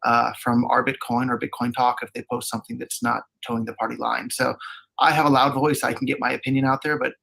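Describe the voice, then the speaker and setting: A man's voice: medium pitch, level tone, fast, creaky, bright, forceful tone. A man in an archived recorded debate, arguing his position earnestly and repeating phrases for emphasis. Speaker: debate participant. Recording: played from an archived video.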